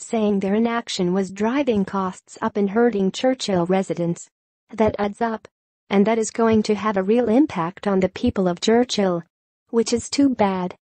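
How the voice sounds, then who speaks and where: Mellow-voiced woman reading news, very dry sound. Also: mid-range voice, dry recording. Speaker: news article reader. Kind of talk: scripted narration of a news article.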